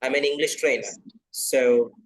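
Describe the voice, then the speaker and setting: A man's voice: medium pitch, steady tone, a little slow, slight background noise, poor audio. A man from Kerala with a Malayali accent, whose first language is Malayalam, talking as an English trainer in an online video class, earnest and insistent, repeating his points. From Kerala, South India. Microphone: video call.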